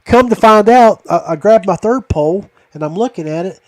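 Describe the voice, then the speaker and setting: A man's voice: sits high, animated, normal speed, a little mellow, no echo. A man chatting casually as a podcast host, telling stories in a rambling, folksy way. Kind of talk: casual chat, storytelling.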